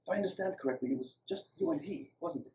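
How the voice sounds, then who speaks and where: A man voicing a gentle, courteous older male doctor with an Eastern European accent in a story, speaking hesitantly. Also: fairly high pitch, expressive, fast, slight background noise, pitched above the performer's own voice, lightly acted. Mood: sorrowful. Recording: roomy, echoing, distant microphone.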